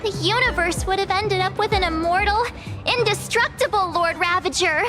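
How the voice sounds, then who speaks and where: A woman voicing a perky, chatty teenage girl adventurer in an anime-style video game, expressive, casual and teasing. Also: extremely high pitch, normal speed. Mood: earnest, wary. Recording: background music under the voice.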